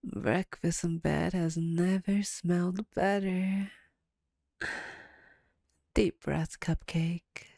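Woman voicing a female vampire captor, low-pitched, very mellow, teasing, sardonic, domineering, intimate ASMR roleplay. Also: fairly even intonation, drawn-out words, gravelly, pauses often, no echo, studio quality, full character voice. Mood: bossy, coaxing.

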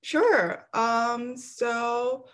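A woman's voice: fairly high pitch, extremely slow, a bit bright. A young woman from Okinawa with a Japanese accent, speaking English on a video call as an interview guest, thoughtful and hesitant with many fillers. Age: young adult. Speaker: guest, graduate student. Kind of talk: casual interview talk. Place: apartment room, indoors.

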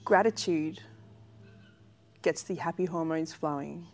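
A woman leads a guided relaxation meditation in a gentle, calm, unhurried way, leaving long pauses between phrases. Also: sits low. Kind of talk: guided pregnancy relaxation meditation, spoken slowly and soothingly. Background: background music.

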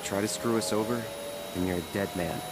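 An actor playing a curt, tough, no-nonsense young fighter in a video game, speaking bluntly. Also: male voice, on the low side, fairly even intonation, a little fast, very noisy, close to an everyday voice. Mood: threatening.

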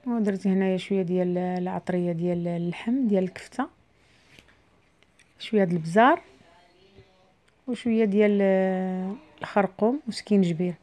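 A woman's voice: mid-range voice, a little fast, pauses often, warm tone. A middle-aged woman with a Moroccan Arabic accent, chatting through each cooking step in a home cooking voice-over. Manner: chatty, explains each step as she cooks. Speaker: cooking vlogger.

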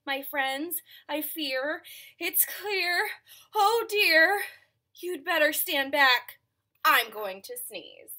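A woman voicing a big, polite, apologetic male elephant in a storybook read-aloud, warning slowly that he is about to sneeze. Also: high voice, lively intonation, pushed and bright, heavily acted. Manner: slow, drawn-out warning.

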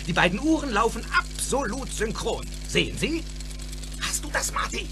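Casting: older mad inventor for radio play, excitable, emphatic, very animated intonation. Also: male voice, very high voice, normal speed, music in the background, full character voice. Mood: excited, instructing. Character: eccentric, excitable older male inventor-scientist. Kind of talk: German audio drama acting.

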